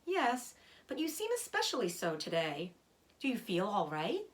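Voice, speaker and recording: A woman's voice: mid-range voice, normal speed. A woman voicing an earnest, emotional young male pig in a children's storybook, pleading and devoted. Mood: concerned. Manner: pleading, excitable, swooping upward. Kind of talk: children's storybook read-aloud.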